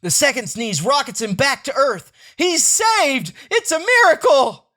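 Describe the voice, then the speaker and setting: A man's voice: very high-pitched, expressive, a little slow, pushed and bright, pitched above the performer's own voice, full character voice. A man voicing a melodramatic space-adventure announcer from a comic strip in a grandiose, suspenseful, exclamatory way.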